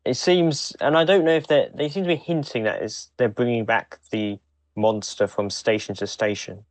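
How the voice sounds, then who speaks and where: A man co-hosting a podcast, talking casually in a hesitant, halting way with repeated starts. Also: medium pitch, no echo. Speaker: podcast co-host. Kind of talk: casual chat.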